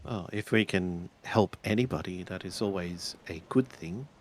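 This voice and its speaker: A man's voice: low-pitched, normal speed. A man playing a tabletop roleplaying game, improvising polite in-character dialogue in a hesitant, stammering way.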